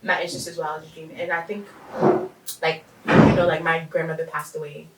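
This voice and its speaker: A woman with a lowish voice talks in an easy, conversational way. She fills her speech with "like" and "um" and laughs often. She sits back from the mic in a room with a lot of echo. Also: level tone, moderate pace, distant mic, very noisy. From Haiti. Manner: laughs often, many fillers. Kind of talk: casual conversation.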